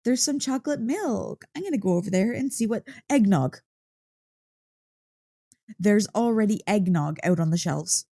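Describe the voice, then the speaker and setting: A woman playing an excitable, playful dog-girl girlfriend in an ASMR roleplay, gushing and teasing with mock indignation. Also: medium pitch, normal speed, long pauses, dry recording, studio quality. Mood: playfully indignant. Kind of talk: ASMR roleplay, one-sided conversation.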